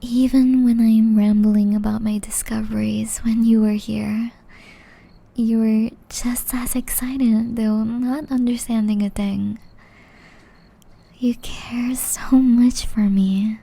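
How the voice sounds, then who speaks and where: A woman voicing a gentle, caring young witch in love, whispering softly and tenderly in an ASMR romance roleplay. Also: fairly high pitch, level tone, heavily acted. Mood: tender confession. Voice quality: soft, breathy whisper. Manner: slow, tender, intimate delivery.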